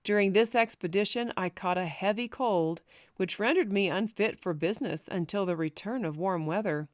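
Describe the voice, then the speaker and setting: A woman narrating a historical memoir as an audiobook, reading in a measured, formal way. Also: mid-range voice, dry recording, clean studio sound.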